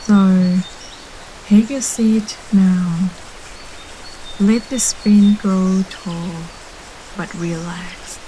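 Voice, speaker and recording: Woman doing guided meditation, super slow and gentle, soft breathy phrase endings, steady ambient hiss behind her. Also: mid-range voice, poor audio. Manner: gentle, soothing, unhurried with long pauses. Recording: clearly audible steady background ambience noise.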